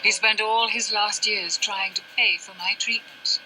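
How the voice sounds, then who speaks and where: A woman playing a sharp-tongued, weary, dying older mother in a video game, with haughty, theatrical, genteel phrasing. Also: mid-range voice, fairly even intonation, a little slow, a little hiss or hum, rough recording. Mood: regretful. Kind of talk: dramatic scripted game dialogue.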